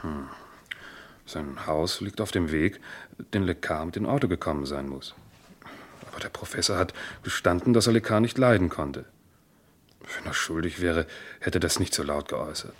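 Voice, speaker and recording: A deep voice playing a police assistant in an old radio crime drama. He reports in a businesslike, deliberate manner, sticking to the facts. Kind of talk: vintage German crime radio play acting.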